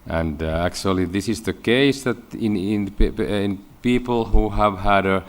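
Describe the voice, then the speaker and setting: A man with a Finnish accent giving a scientific conference lecture in halting English, often repeating words. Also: on the low side. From Finland. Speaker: medical researcher giving a lecture.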